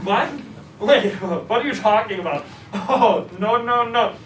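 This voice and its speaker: A man playing a flustered, nervous young novice actor with a halting delivery in a live staged reading. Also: high-pitched, fairly bright tone. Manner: halting, stammering. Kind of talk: musical theatre staged reading. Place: restaurant staged reading before an audience. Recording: distant roomy live recording, audience noise.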